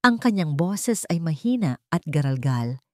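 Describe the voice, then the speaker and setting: A woman reading a scripted dramatic story as narrator, with measured, deliberate phrasing. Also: sits low, sing-song, mellow, no room sound, studio quality.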